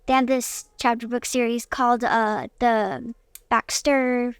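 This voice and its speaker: A young girl answering interview questions casually, in a hesitant, halting way. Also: a little high, little pitch movement, smooth, no room sound. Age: child.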